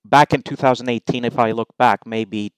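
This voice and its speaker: A middle-aged man from Greece, a guest in an interview, explaining his views in measured, numbered points. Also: medium pitch, fairly even intonation, clean tone, no echo, low-quality recording. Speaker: investment allocator, guest. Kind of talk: interview answers.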